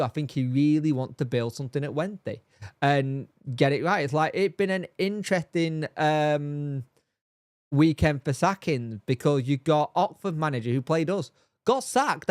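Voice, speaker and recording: A man's voice: a little high, lively intonation, moderate pace, dry recording, studio quality. Bunged-up, nasal man chatting on a stream. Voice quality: nasal and throaty. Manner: rambling, repeats himself, answers chat by name. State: congested, bunged up. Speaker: fan channel host reading live chat. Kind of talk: casual live-stream chat.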